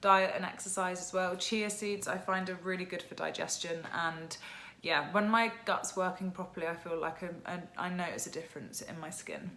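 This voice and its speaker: A young woman with a croaky voice chatting casually to camera about her skincare routine, filling her talk with "like" and "um". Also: fairly low pitch, normal speed, sharp tone. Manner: chatty, lots of "like" and "um". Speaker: skincare vlogger. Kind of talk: casual product chat to camera.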